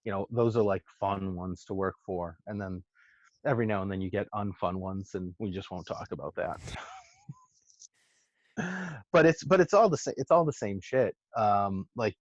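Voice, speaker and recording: Chatty Massachusetts man, low voice. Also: dry recording, dull audio. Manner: rambling, profane, many restarts and fillers. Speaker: podcast guest, social media marketer. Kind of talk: casual chat.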